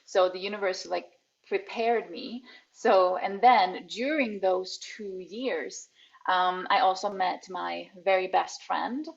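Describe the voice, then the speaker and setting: A woman's voice: medium pitch, slow pace, creaky. A woman in her forties, a coach and healer, telling her personal story as a podcast guest, reflective and laughing often mid-sentence. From Sweden. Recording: dull lo-fi audio.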